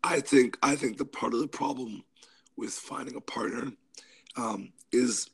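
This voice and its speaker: A man co-hosting a casual podcast discussion, hoarse from a cold, talking through list points with whispery asides. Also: mid-range voice, unhurried, fairly bright tone. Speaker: podcast co-host.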